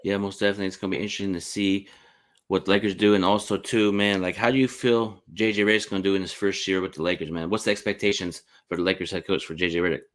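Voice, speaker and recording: Male sports podcast host, deep voice, quick delivery, casual and agreeable. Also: fairly even intonation. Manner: informal, agreeable, peppers talk with "man".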